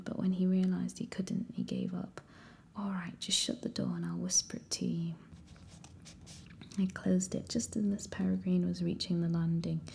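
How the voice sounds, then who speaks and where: A woman reading a first-person story aloud in a steady, hushed whisper. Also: on the low side, gravelly. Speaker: audiobook reader.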